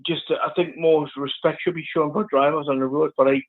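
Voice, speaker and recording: A man's voice: a little high, steady tone, a little fast. A man from the North East of England, calling in to a radio show and rambling casually. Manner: rambling, says "like" and "aye" a lot. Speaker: caller. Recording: phone line.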